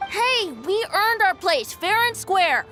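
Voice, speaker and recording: A woman's voice: very high voice, animated, slow, pushed and bright, soft background music, heavily acted. A woman voicing a plucky, indignant little child who sells toys in a video game.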